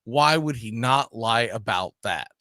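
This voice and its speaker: A man's voice: mid-range voice, animated, measured pace, pushed and bright, no room sound, clean studio sound. A man hosting a casual sports debate, speaking emphatically and repeating phrases to press his point. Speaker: sports talk host. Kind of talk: casual argumentative sports discussion.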